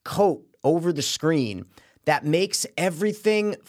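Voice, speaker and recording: A man with a slightly higher-than-usual voice rants in casual podcast chat. He talks emphatically and swears freely while reviewing a show. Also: lively intonation, slow, a little mellow. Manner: profane, emphatic ranting. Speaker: podcast co-host.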